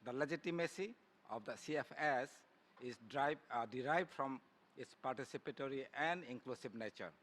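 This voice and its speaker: A man with a Bangladeshi accent reads a prepared formal statement as a spokesperson at a conference plenary, moving haltingly from phrase to phrase. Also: medium pitch, a bit bright. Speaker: civil society spokesperson. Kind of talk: formal prepared speech read aloud at a plenary.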